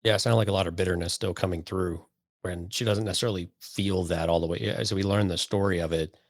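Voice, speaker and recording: A man's voice: low voice, fairly even intonation, quick pace, no echo, studio quality. A man co-hosting a podcast, chatting casually and giving opinions in a wry, sarcastic, incredulous way. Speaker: podcast co-host.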